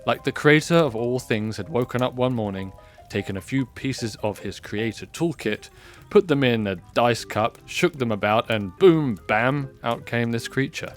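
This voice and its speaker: A man narrating a scripted fantasy audio drama in a measured, expressive storybook style. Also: medium pitch. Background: music.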